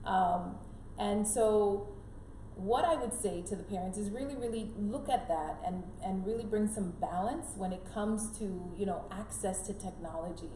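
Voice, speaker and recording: A woman's voice: medium pitch, moderate pace, dark tone. A middle-aged woman raised in Canada and born in Jamaica, giving earnest conversational advice as an interview guest, emphatic and repeating key phrases. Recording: roomy echo, clear background noise.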